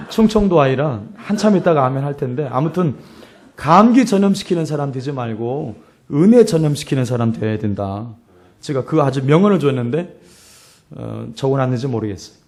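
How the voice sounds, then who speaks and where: A middle-aged man with a hoarse voice preaching a sermon as a pastor, telling stories and exhorting with emphatic repetition. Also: mid-range voice, expressive, moderate pace, darkish tone.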